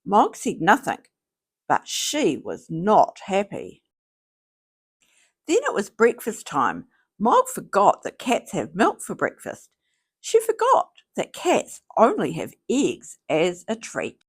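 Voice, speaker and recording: Slow, very animated woman reading a children's story. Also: mid-range voice, breathy edge. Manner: slow, theatrical, deliberate phrasing. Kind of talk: expressive scripted storybook read-aloud.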